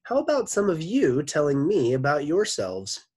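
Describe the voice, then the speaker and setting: Guy doing a super polite smooth teacher man, higher and lifted, a bit husky. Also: wildly swooping pitch, normal speed, darkish tone, heavily acted. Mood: pleasant. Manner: pleasant, over-polite. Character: smooth-talking male teacher with a pleasant voice.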